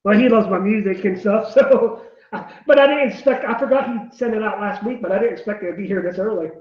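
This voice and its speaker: A man in his late thirties on a video call, chatty and halting, laughing often between words. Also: high voice, reverberant, dull audio. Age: late 30s. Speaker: guest. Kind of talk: casual video call chat.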